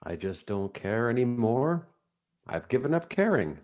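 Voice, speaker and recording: Jaded, withdrawn actor's man, swinging pitch. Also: very animated, a little slow. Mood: defiant apathy. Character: jaded, withdrawn man who has given up caring. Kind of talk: stage-play reading over video call. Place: live Zoom video call. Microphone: video call.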